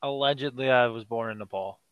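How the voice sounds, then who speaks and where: A teenage male podcast guest who answers with dry, deadpan jokes in a casual interview. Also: mid-range voice, brisk, smooth, dry recording, dull audio. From United States. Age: teens.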